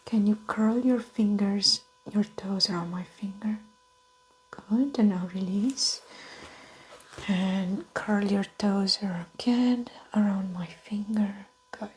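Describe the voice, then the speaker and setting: A woman whispering softly in an ASMR roleplay as a doctor, giving gentle, methodical clinical instructions and observations. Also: mid-range voice, takes it slowly, low-quality recording. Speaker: ASMR performer playing a doctor. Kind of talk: soft-spoken whispered ASMR medical roleplay.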